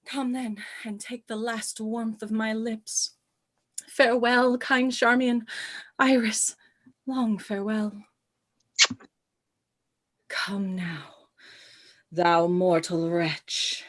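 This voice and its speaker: A woman's voice: fairly high pitch, steady tone, drawn-out words, pauses often. A woman playing a proud, doomed queen in a radio play, speaking Shakespearean verse in a stately, declamatory way. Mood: solemn, resolved. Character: proud, regal doomed queen, tender and grandiose.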